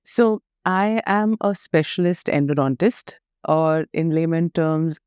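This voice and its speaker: A woman from Nepal talks through her path as a dental specialist, her voice low and mellow. She tells her story warmly and reflectively, pausing on fillers and restarting her sentences. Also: clear, resonant tone. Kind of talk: conversational podcast interview.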